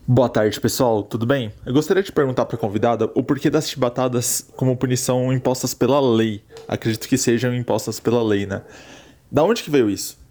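A man in a casual voice message, asking a podcast guest a question in a relaxed, slangy way. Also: mid-range voice, a little fast. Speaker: listener sending a voice message.

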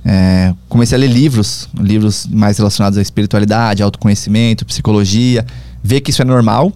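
Brazilian male guest, speaks fast and searching, mellow voice in a dry room. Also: on the low side, no echo. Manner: reflective, halting, many fillers and restarts. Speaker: podcast guest, travel platform founder. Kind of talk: casual conversational interview.

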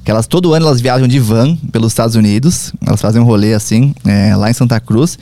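Brazilian male guest, speaks fast and searching, mellow voice in a dry room. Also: medium pitch, no echo. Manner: reflective, halting, many fillers and restarts. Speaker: podcast guest, travel platform founder. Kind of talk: casual conversational interview.

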